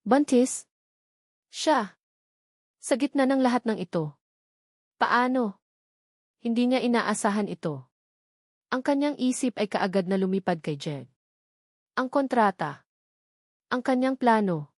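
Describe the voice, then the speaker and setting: A woman's voice: fairly high pitch, animated, unhurried, long pauses, dry recording, studio quality. A woman reading a scripted romance story as a dramatic narrator.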